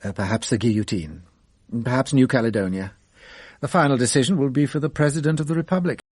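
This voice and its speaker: An actor playing a shrewd, self-assured French detective in a radio drama, musing deliberately with wry amusement. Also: male voice, medium pitch, darkish tone, breathy edge, lightly acted. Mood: wry, satisfied.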